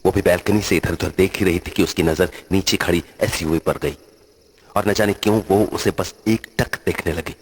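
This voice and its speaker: Male narrator for scripted horror tales, low-pitched with a husky edge. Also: fairly even intonation, moderate pace.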